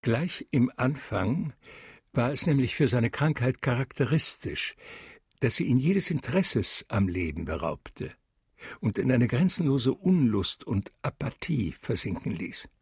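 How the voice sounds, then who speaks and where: Mid-pitched man narrating radio drama. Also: sing-song, measured pace, vocal fry, slightly rough, no room sound, studio quality.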